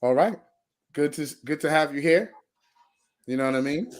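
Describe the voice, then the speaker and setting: A man's voice: fairly high pitch, moderate pace. Upbeat male podcast co-host. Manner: upbeat, talks over his co-host, says thank you often. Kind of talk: casual podcast chat.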